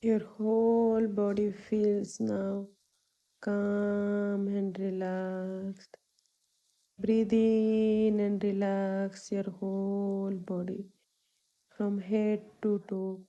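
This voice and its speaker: Very slow, mellow woman guiding meditation. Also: mid-range voice, dull audio. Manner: calming, soothing, unhurried with long pauses. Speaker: meditation guide, yoga teacher. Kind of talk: guided meditation, spoken instructions.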